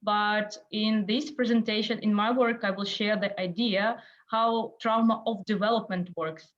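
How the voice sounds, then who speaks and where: A woman whose first language is Russian gives a lecture over a video call. She speaks haltingly, fills gaps with uh and um, and searches for her words. The call audio sounds dull and lo-fi. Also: medium pitch, fairly even intonation. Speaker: guest lecturer. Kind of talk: online lecture in a second language.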